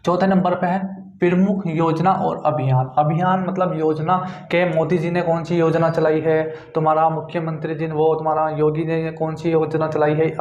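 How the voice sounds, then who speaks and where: Male exam coach, North Indian accent, higher-pitched voice, informal and repetitive lecturing, dull lo-fi sound. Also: a little mellow. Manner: repetitive, with frequent check-in tags. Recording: dull, echoey room recording.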